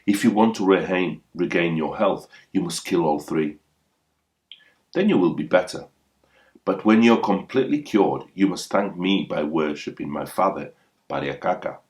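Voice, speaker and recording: A man's voice: sits low, normal speed, a little mellow, lightly acted. A man voicing a poor young folk hero, bold, solemn and commanding, in a storybook reading. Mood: solemn warning.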